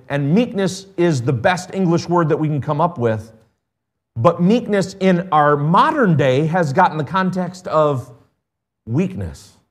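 A man preaching a sermon as the pastor of his church, earnest and emphatic, dwelling slowly on stressed phrases. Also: on the high side.

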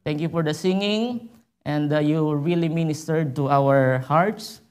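A man from the Philippines with a Filipino accent, giving a halting church report as a visiting missionary, full of fillers and restarts. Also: on the high side, clear, resonant tone. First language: Filipino language. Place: church sanctuary.

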